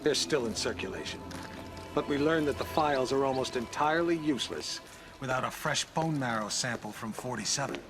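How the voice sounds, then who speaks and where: Game intelligence chief, male actor: composed, knowing storyteller, mid-range voice, measured delivery. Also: medium pitch, lively intonation, over music, lightly acted. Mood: smug, measured. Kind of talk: video game cutscene acting.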